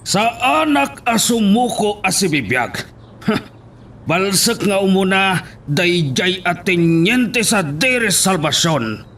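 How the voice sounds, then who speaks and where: A man's voice: sits high, sing-song, slow, a bit bright, a little hiss or hum, heavily acted. A man with an Ilocano accent playing a defiant, greedy fugitive father who threatens his own family in an audio drama. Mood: defiant, gloating.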